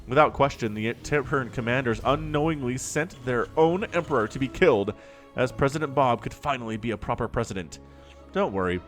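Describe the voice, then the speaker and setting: Solo caster with a cold, sniffly; animated, chatty, wide-ranging pitch, faint music bed. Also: male voice. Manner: chatty and animated, goes off on jokey tangents. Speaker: StarCraft shoutcaster. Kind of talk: solo game commentary.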